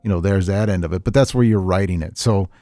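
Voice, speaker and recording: A middle-aged man chatting casually on a podcast, telling stories in a rambling, animated way with repeats and chuckles. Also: deep voice, quick pace, no echo, studio quality. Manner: animated storyteller, chuckles often, repeats himself. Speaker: podcast host, veteran tabletop gamer.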